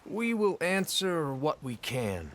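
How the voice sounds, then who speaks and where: A grandiose cult leader in a video game, very self-assured. His voice is high and swoops theatrically, with slow, pompous delivery. There is faint ambience behind it. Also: big pitch swings, a little hiss or hum. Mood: regal, composed. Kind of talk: video game cutscene acting.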